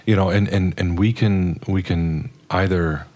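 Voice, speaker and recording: A man's voice: low voice, steady tone, measured pace, a little mellow. A man co-hosting a podcast, discussing religion reflectively and explaining his points, often by building up lists. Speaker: podcast co-host.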